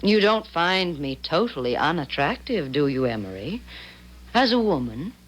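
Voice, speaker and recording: A woman's voice: low-pitched, big pitch swings, moderate pace, rough recording. An actress in a 1970s radio drama playing a sly, seductive she-devil who speaks in precise, formal, coy phrases.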